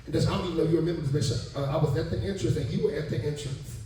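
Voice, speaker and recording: A man's voice: a little high, fairly even intonation, very fast, warm tone. A man hosting a panel informally, gushing over his guests in slangy, effusive talk. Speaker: panel host. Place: town hall event room. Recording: very echoey, noisy room, distant mic.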